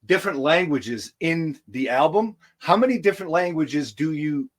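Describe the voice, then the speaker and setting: A man's voice: fairly high pitch, animated, moderate pace. An American man hosting a casual interview, laid-back and enthusiastic, who says man a lot. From United States. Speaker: podcast host and rapper.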